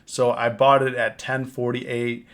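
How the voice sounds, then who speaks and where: A man with a cold, hoarse and rambling, recapping his trades on a casual live stream and often repeating himself. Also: medium pitch, flat delivery, unhurried, fairly bright tone. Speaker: options trading live streamer. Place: home, on camera.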